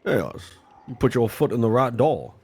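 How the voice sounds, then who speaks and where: A man's voice: mid-range voice, sing-song, moderate pace, a little mellow, slightly rough. A man playing a courteous, inquisitive Old West dealmaker in improvised roleplay, probing politely with small hesitations.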